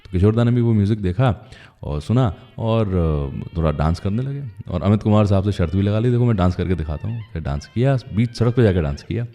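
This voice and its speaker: A man's voice: fairly low pitch, animated, brisk, hardly any pauses, mellow, long reverb tail. A man hosting a music radio show, telling anecdotes in a conversational, chatty way.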